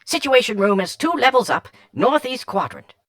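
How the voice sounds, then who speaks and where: A woman's voice: on the high side, animated, moderate pace, a bit bright, dry recording, studio quality. A woman voicing a flighty, eccentric hovering robot in an audiobook, with a sing-song, theatrical delivery. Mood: alert, reporting. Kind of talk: audiobook character acting.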